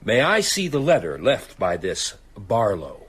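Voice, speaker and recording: A domineering, rational father in a radio drama. The man is husky and formal, questioning pompously with sharply varied intonation. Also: fairly low pitch, huge pitch swings, unhurried, fairly bright tone, exaggerated voice. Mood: stern, disbelieving.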